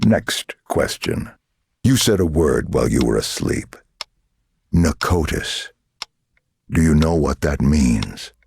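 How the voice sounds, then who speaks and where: An actor playing a menacing, condescending male government interrogator in a dramatic video game, speaking deliberately. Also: animated, darkish tone, no room sound, exaggerated voice. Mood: threatening. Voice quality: deep, gravelly.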